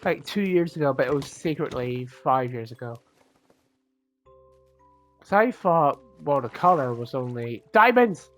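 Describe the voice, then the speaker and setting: Croaky man chatting over gameplay. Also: mid-range voice, expressive, a little slow, long pauses, soft background music. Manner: chatty, rambling, self-mocking asides. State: croaky, sniffly from a cold. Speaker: gaming channel host. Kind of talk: casual gameplay commentary.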